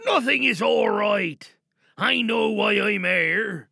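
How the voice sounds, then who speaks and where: A man's voice: high-pitched, lively intonation, takes it slowly, creaky, bright, forceful tone, dry recording, studio quality, heavily acted. A man performing an audio theatre monologue as an overwhelmed young student, pleading and holding in rage. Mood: bitter, agitated. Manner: pleading, strained, emotionally pent-up.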